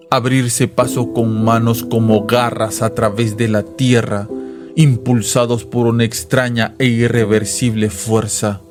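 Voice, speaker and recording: Slow, solemn male radio drama narrator. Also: lowish voice. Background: music under the voice.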